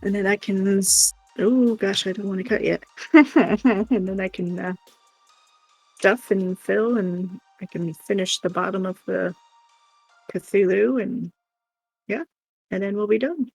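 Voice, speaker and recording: A woman with a gravelly, husky voice chats casually on a livestream. She rambles and giggles as she goes, over faint background music. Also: mid-range voice, measured pace, long pauses, a little mellow, no echo, low-quality recording. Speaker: live stream co-host. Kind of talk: casual livestream chat while crocheting.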